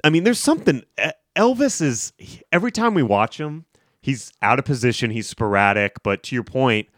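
A man co-hosting a sports podcast, opinionated and animated. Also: mid-range voice, normal speed, dry recording, clean studio sound. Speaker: podcast co-host. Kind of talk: casual sports chat.

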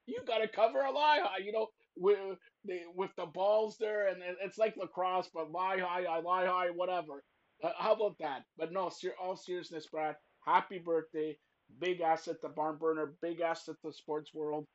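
A man hosting a wrestling podcast, talking loudly and teasing his guests, rambling with lots of fillers. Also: sits high, steady tone, moderate pace, lo-fi sound. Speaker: podcast host. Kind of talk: loud joking wrestling podcast chat.

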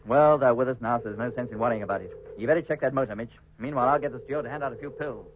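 An actor playing a calm, decisive rocket captain with clipped speech in a 1950s radio serial. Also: male voice, mid-range voice, racing, natural voice. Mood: composed. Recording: muffled vintage radio, long reverb.

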